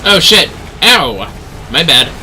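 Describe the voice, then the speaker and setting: A man's voice: on the high side, big pitch swings, takes it slowly, sharp tone, a little hiss or hum, low-quality recording. A man livestreaming a video game, giving casual running commentary as he plays.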